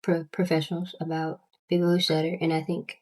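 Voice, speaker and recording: A young woman with a Southern US accent and a stutter, chatting casually in a book vlog with halting restarts and many fillers. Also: low voice, level tone, a little mellow. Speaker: booktuber.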